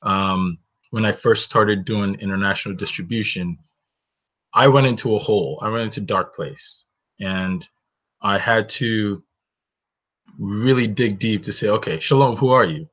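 A man's voice: on the low side, measured pace, fairly bright tone. A man in his thirties, raised in Northern Nigeria, hosting a webinar from a small bedroom on his webcam, talking earnestly about his own story with many "you know"s. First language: Hausa. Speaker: webinar host. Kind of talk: reflective solo webinar talk. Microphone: webcam, close framing. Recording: dull lo-fi webcam sound.

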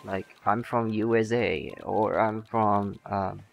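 Slow-talking young man streaming a game. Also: lowish voice, fairly even intonation, creaky, muffled recording. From Indonesia. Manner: chatty, halting, many restarts and laughs. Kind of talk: casual live-stream chat reading viewer comments. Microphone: shotgun microphone, not facing it. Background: faint game music.

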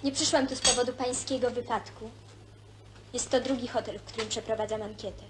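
Actress doing a firm young student lady, bit high voice, formal and tart, slight hiss on old film. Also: fairly even intonation, a little fast, natural voice. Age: young adult. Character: self-possessed young woman student doing a survey. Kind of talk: naturalistic film dialogue.

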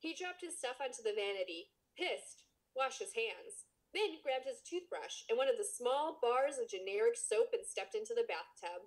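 A woman's voice: fairly high pitch, lively intonation, moderate pace, few pauses, rough recording. A woman podcast co-host reading a book aloud and chatting casually, with playful, mock-dramatic asides.

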